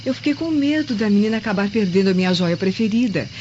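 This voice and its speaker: A sly Roman wife played by a woman in a radio drama, mid-pitched, flustered and wheedling, with a Brazilian accent. The recording is dull, with faint noise behind her. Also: animated, fast, darkish tone, lightly acted. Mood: sweetly evasive. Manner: flustered, wheedling, over-explaining. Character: deceitful Roman noblewoman, defensive and sugary wife.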